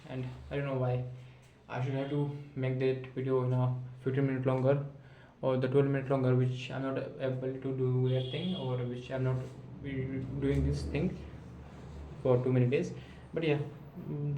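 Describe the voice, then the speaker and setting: A young man of nineteen talks to his camera in a steady, flat tone with a mellow, darker timbre. He thinks aloud as he goes, restarts his sentences and encourages himself. His voice sounds echoey and roomy, with faint ambient noise behind it. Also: mid-range voice. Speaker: vlogger practising spoken English. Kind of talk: unscripted self-talk monologue to camera.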